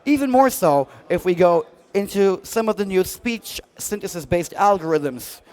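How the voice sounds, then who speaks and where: High-pitched man giving a casual demo. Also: animated, moderate pace, a little mellow. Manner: jokey, chatty, slangy. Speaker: product demonstrator at a music trade show.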